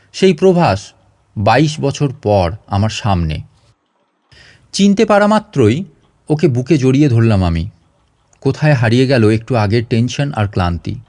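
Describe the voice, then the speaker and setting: A man's voice: medium pitch, darkish tone, no room sound, clean studio sound. A man reading a story aloud as a dramatic scripted audiobook narration.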